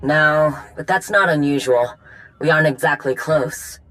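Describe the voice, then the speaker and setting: A woman's voice: deep voice, a little slow, fairly bright tone, dry recording, soft background music, rough recording. A woman voicing a proud, practical, guarded warrior mechanic in a video game cutscene. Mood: matter-of-fact.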